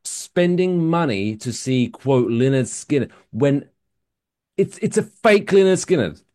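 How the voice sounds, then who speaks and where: A man co-hosting a podcast in casual chat, an animated storyteller who builds into emphatic outbursts. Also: mid-range voice, a little slow, no room sound, studio quality. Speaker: podcast co-host.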